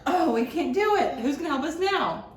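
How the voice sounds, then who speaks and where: An animated woman speaks in an echoey room with noticeable background noise. She tells stories and explains simple steps casually, as a host would to a small child, asking plenty of easy questions. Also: high-pitched, normal speed. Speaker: library staff host of a children's story and recipe video. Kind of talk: casual storytelling and cooking demo with a child. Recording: roomy echo, clear background noise.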